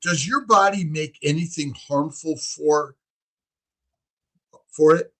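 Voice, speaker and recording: A male host with a high voice full of gravel and rasp. He talks emphatically, preaching his point with conviction. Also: slow, a bit bright. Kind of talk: casual conversation.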